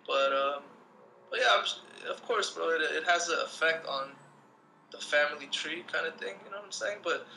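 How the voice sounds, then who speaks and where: A man's voice: a little high, creaky, sharp tone, slight background noise, poor audio. A man in his thirties, from Lebanon and raised in the US, talking as an interview guest in a laid-back, rambling way with lots of fillers. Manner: laid-back, rambling, many fillers like "you know what I'm saying". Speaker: guest, breakdancer. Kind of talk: casual interview chat.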